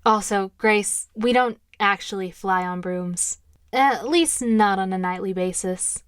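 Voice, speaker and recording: A woman playing a friendly, reassuring college-age witch in an audio drama, with a casual, soft-hearted manner. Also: mid-range voice, a little slow, clean tone, dry recording, studio quality, close to an everyday voice. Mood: wry.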